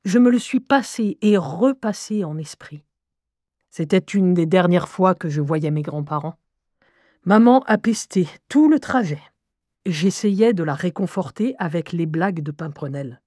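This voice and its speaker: A woman's voice: on the low side, animated, unhurried, warm tone, dry recording, clean studio sound. An adult woman giving an intimate, first-person scripted narration in French, as if recounting memories.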